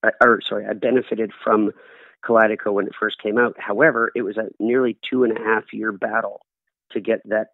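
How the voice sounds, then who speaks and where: Gravelly man on a tinny line. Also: fairly low pitch, level tone, a little fast, no room sound. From Canada. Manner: earnest, storytelling with acted-out quotes. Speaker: guest. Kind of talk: conversational interview answers. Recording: dry, tinny phone-like line.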